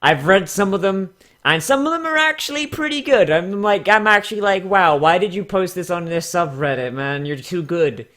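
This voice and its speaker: Sarcastic bright-voiced man, fairly high pitched. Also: expressive, moderate pace, few pauses. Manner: sarcastic, theatrical, profane. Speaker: YouTube host. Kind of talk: casual comedic commentary and mock dramatic reading.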